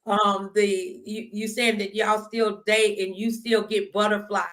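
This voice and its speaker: A woman hosting a casual talk show, speaking in an expressive, folksy, emphatic way. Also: medium pitch, measured pace. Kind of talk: casual motivational interview chat.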